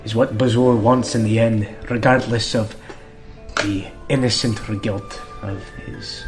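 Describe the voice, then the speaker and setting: An actor playing a devout, hot-headed but warm priest-warrior with an in a fantasy audio drama. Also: male voice, on the low side, steady tone, measured pace, close to an everyday voice. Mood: apologetic, gentle. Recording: music behind the voice.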